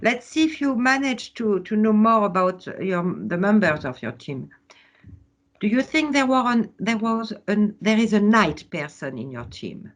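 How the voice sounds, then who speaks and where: A woman's voice: mid-range voice, animated, normal speed. A woman from France with a French accent, teaching an online workshop over a video call, laughing often and hesitating with fillers.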